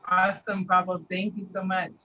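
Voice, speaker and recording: Upbeat breathy woman moderator. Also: mid-range voice, monotone, normal speed, sharp tone. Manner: upbeat, brief thanks and prompts. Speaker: panel moderator. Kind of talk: hosting a panel. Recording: dull lo-fi sound.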